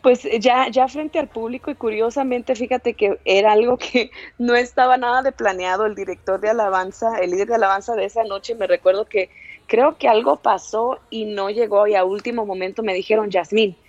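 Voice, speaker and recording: A woman's voice: fairly high pitch, steady tone, normal speed, a little mellow, no room sound, dull audio. A woman in her thirties, born in El Salvador, a singer answering interview questions in a chatty way and laughing often. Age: 30s. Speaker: singer, guest.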